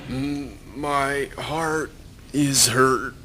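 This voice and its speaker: Male actor as badly hurt, dying drinker, weak and pleading, very slow, historical radio drama. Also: mid-range voice, steady tone, pushed and bright, full character voice.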